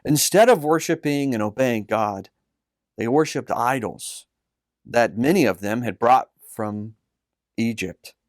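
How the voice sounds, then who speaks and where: A man reading a scripted children's Bible story as its narrator, in a measured, earnest manner. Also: mid-range voice, dry recording, clean studio sound.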